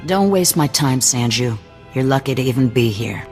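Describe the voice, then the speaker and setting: A man's voice: mid-range voice, lively intonation, normal speed, faint music behind, natural voice. An actor playing a hard, distrustful male rebel leader with cybernetic implants, talking curtly and with open threat in a game cutscene. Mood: hostile. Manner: gruff, threatening, curt. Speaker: resistance cell leader. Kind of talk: video game cutscene acting.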